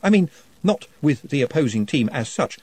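A man's voice: fairly high pitch, big pitch swings, normal speed, a little mellow, breathy edge, no echo, pitched above the performer's own voice. A man voicing a nervous, formal young scholar who speaks precisely and earnestly.

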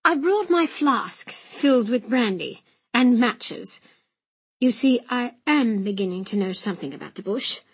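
A woman voicing a polite, earnest young gentleman with an upper-class English accent in an audiobook, courteous and formal. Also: on the high side, lively intonation, measured pace, slightly rough, heavily acted. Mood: polite, eager.

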